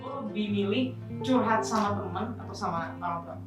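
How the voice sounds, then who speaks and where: Seorang perempuan berlogat yang mengajukan pertanyaan wawancara dengan santai. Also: female voice, mid-range voice, over music. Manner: quick, casual questioning. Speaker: interviewer. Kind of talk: asking interview questions. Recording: very echoey room, distant mic.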